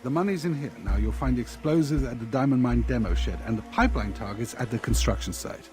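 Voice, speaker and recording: An actor playing a cynical middle-aged mercenary fixer in a video game, briefing in a dry, matter-of-fact way. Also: male voice, mid-range voice, quick pace, lightly acted. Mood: businesslike. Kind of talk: video game cutscene acting. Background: music.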